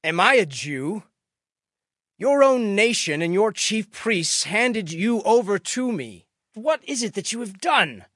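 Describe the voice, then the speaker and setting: A man playing a commanding, troubled Roman governor in a dramatic scripture reading, loud, emphatic and declamatory. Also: sits high, very animated, takes it slowly, no room sound, clean studio sound, pitched above the performer's own voice, full character voice. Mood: sharp questioning.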